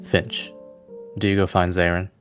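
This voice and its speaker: Very deep-voiced man narrating a fantasy game. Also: flat delivery, a little slow. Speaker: game master. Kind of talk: narrating a tabletop roleplaying game. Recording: voice over prominent background music.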